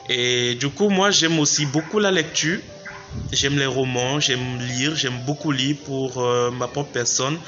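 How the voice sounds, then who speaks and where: A man being interviewed, talking in a measured way with hesitant fillers. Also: medium pitch, sharp tone. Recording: dull lo-fi sound, faint music.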